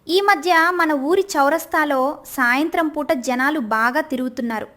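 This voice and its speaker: A woman playing a devoted farmer's wife, high-voiced. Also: fairly bright tone. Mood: hopeful. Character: poor farmer's devoted wife, gentle but resolute.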